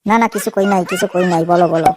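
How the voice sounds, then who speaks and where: A woman's voice: on the low side, fairly even intonation, rapid-fire, a little mellow, slight background noise, close to an everyday voice. A woman voicing a young boyfriend in a Bengali comedy cartoon, placating yet cheeky, a sly wisecracker.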